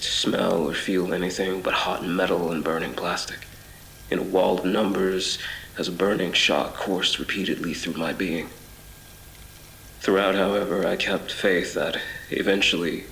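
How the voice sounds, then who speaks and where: An actor playing a haunted, obsessive traveler between twisted worlds in a horror audio drama, speaking in a measured, brooding, literary way with halts. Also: male voice, low voice, gravelly, fairly bright tone, slight background noise, full character voice. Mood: wistful, brooding. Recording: reverberant voice over music. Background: music.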